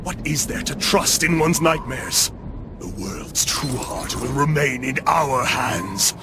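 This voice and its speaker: Gravelly, deranged cursed warlord. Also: male voice, medium pitch, lively intonation, takes it slowly. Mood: raving defiance. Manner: grandiose, raving, theatrical. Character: deranged cursed warlord ranting as many voices, doomed and defiant. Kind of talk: dramatic video game cutscene acting. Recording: dramatic music behind the voice.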